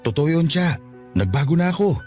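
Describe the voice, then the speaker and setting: A man's voice: a little high, very animated, moderate pace, darkish tone, faint music behind. A man playing a repentant estranged father in a radio drama, pleading and emotive. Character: repentant estranged father begging to rebuild his family. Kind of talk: Tagalog radio drama acting.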